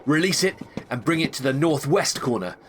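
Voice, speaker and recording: A man's voice: a little high, animated, brisk, a little hiss or hum, natural voice. A man playing a serious, weary sci-fi agent who gives orders in an audio drama. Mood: commanding. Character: stern, weary male sci-fi agent, battle-worn.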